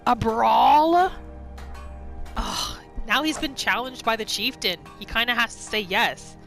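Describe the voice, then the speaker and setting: Female gaming host: bright voice, musing aloud in role-play commentary, very varied intonation. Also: a little high, unhurried, vocal fry, faint music behind. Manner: musing aloud, expressive, questioning. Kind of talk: role-play game commentary, narrating her character's thoughts.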